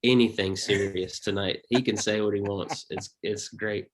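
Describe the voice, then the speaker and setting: A man with a Southern accent, a stand-up comedian chatting casually as a guest in a relaxed, rambling way. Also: on the low side, moderate pace. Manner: laid-back, rambling, laughs often. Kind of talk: casual interview chat.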